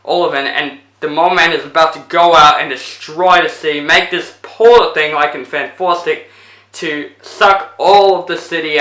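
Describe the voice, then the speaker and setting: Young male vlogger, bright and slightly high voice, rambling casual review, tiring voice, echoey room. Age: young adult. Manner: rambling, animated retelling with lots of fillers. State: voice getting tired. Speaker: movie reviewer. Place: indoors in front of a plain blue backdrop.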